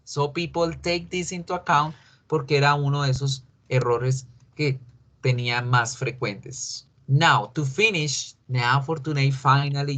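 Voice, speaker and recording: A middle-aged man with a Colombian Spanish accent leads an English lesson in a voice of middling pitch. He switches between Spanish and English and goes over the important points twice. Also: fairly bright tone. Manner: repeats key points, mixes Spanish and English. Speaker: English teacher. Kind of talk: recorded online class.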